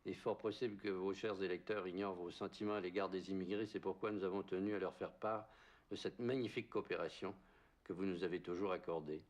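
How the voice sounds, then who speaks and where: Un homme lit avec solennité la voix d'un immigré fictif signant un tract électoral moqueur. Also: male voice, deep voice, steady tone, quick pace, darkish tone, lightly acted. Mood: ceremonious. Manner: formal, declamatory reading. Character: male immigrant signing a mocking electoral leaflet.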